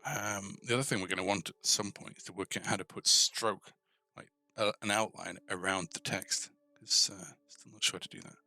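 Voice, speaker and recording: A man hosting a casual live coding tutorial, thinking aloud informally and tentatively, often pausing mid-thought as he works. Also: deep voice, creaky, pushed and bright. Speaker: host of a coding tutorial stream.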